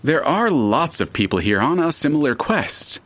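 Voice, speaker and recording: Pompous, swooping disembodied narrator. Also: male voice, fairly high pitch, very animated, moderate pace, fairly bright tone. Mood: knowing, aloof. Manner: pompous, precise, faintly hesitant, florid wording. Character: droll, fussy, haughty omnipresent narrator spirit, male. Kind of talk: full-cast audio drama acting.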